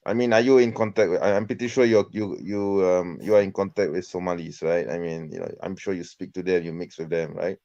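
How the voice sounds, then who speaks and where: A man's voice: low voice, steady tone, brisk, creaky, hardly any pauses, mellow. A man from Malaysia with a Malaysian accent, calling in with casual, hesitant questions and repeating his phrases. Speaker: caller. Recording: dull lo-fi call.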